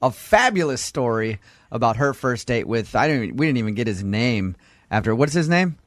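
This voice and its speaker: A man's voice: medium pitch, big pitch swings, moderate pace, no echo, studio quality. A man hosting a radio show with casual, joking morning banter. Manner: joking, teasing.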